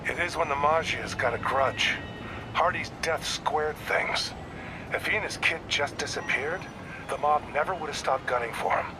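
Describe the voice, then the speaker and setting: A man's voice: on the high side, normal speed, background noise, lightly acted. A man voicing an older police detective in a video game, world-weary, guarded and matter-of-fact in his talk. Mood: guarded, somber.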